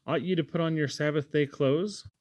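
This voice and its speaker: Man voicing a fussy, brisk housewife. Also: a little high, steady tone, darkish tone, dry recording, voice pushed up, close to an everyday voice. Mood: brisk, insistent. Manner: emphatic, homely scolding. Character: fussy, warm-hearted Scottish housewife, brisk and practical.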